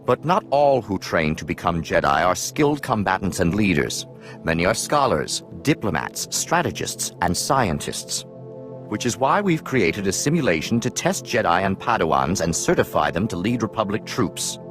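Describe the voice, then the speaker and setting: Low, expressive military instructor. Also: male voice, faint music behind. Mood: composed authority. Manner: formal, measured, authoritative. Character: composed male military instructor briefing a trainee. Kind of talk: video game dialogue acting.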